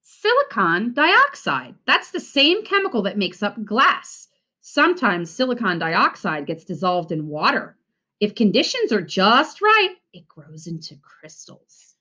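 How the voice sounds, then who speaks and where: A kindly storybook father, voiced by a woman reading aloud, explains patiently and instructively. The delivery is slow and careful, with lively pitch on the key words. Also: full character voice.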